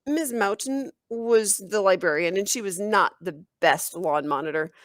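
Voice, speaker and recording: A woman reading a children's novel aloud as an expressive storyteller, in the manner of a teacher. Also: medium pitch, unhurried, no room sound, clean studio sound. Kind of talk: children's audiobook narration.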